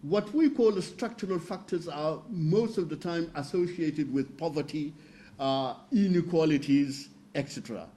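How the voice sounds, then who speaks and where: A man from northern Senegal speaking as a panelist at a roundtable, explaining slowly and carefully with fillers and restarts. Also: a little high, dark tone. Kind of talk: roundtable talk.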